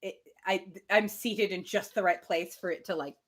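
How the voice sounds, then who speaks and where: A woman's voice: medium pitch, fairly even intonation, moderate pace. A woman hosting a casual live novel reading, with chatty asides. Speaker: livestream host reading a novel aloud.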